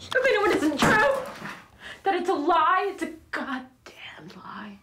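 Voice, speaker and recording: A woman's voice: very high-pitched, animated, normal speed, music in the background. Woman playing an anxious young woman pleading. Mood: near hysteria. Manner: pleading, swings between whispers and outbursts. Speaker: actress performing a monologue. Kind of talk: dramatic monologue.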